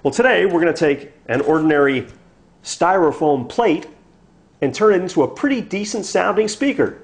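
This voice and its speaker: Male DIY host with a lively, varied pitch. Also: normal speed. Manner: clear step-by-step instructional delivery. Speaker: host of a DIY project show. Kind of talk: scripted how-to narration.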